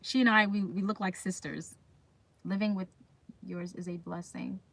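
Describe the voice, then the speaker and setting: A woman's voice: medium pitch, steady tone, moderate pace, vocal fry. A woman chatting casually as a livestream host, talking straight to viewers and often putting questions to them. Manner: chatty, reads comments aloud and puts questions to viewers. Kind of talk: casual live chat.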